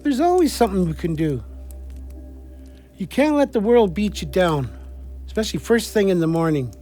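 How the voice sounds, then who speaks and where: A man's voice: high voice, big pitch swings, moderate pace, warm tone, soft background music. A man acting in an audio play as an encouraging, supportive friend with a reassuring, colloquial manner.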